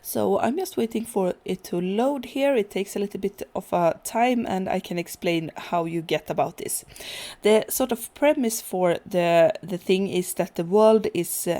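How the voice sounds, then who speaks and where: A woman whose first language is Swedish, casually talking through a survival video game with many pauses and fillers. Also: lowish voice. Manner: relaxed, hesitant, many fillers and restarts. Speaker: gaming channel host. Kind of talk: casual let's play commentary.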